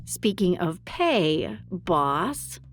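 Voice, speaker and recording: A woman's voice: fairly low pitch, big pitch swings, very slow, exaggerated voice. A woman playing a sassy, blunt secretary to a private detective in a noir audio drama, sarcastic and frank.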